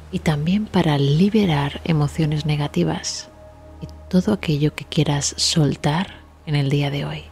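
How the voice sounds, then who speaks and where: A woman leading a guided relaxation meditation in a soft, breathy, near-whispered voice, soothing and gentle, with long pauses. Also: sits low. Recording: steady ambient sea sound behind voice. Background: sea waves ambience.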